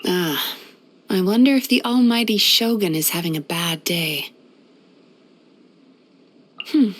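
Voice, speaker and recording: A woman voicing a gentle, caring, centuries-old dream-eating spirit who works as a therapist in a video game, speaking in a soothing, polite way. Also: mid-range voice. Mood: calm, refreshed. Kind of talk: video game character voice lines.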